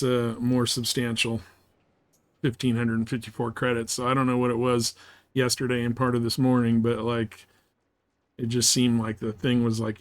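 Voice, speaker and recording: A man giving casual, rambling commentary while livestreaming a space combat game. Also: mid-range voice. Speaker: live streamer playing a space combat game.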